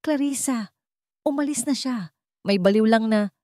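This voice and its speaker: A woman's voice: on the high side, unhurried, darkish tone, no room sound, studio quality, voice pushed up, close to an everyday voice. A woman voicing a desperate, secretive wealthy man in a story, guarded and pleading. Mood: nervous.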